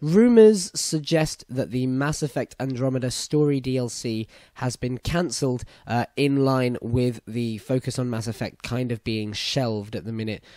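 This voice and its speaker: A young British man with a croaky voice hosting a solo gaming news podcast, chatty and opinionated. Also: mid-range voice, normal speed, few pauses, dark tone, no echo, clean studio sound. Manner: chatty, opinionated, apologetic asides. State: hoarse, croaky voice after a concert. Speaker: podcast host.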